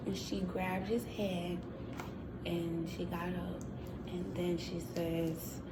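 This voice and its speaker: A woman telling an improvised children's story in a deliberate way, often repeating phrases. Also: fairly low pitch, flat delivery. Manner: slow, deliberate, repeating phrases, with sound effects. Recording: clearly noisy, ambient room sound.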